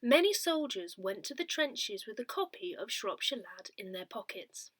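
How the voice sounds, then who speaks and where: Woman reading aloud with lively, swooping intonation. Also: mid-range voice, normal speed, no room sound. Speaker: newsletter reader. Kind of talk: scripted narration, reading aloud.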